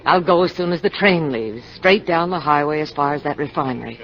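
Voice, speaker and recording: Cool scheming femme fatale, old radio. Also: female voice, deep voice, fairly quick. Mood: controlled, brisk. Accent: American. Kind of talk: 1950s radio drama acting. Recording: dull, noisy old radio broadcast.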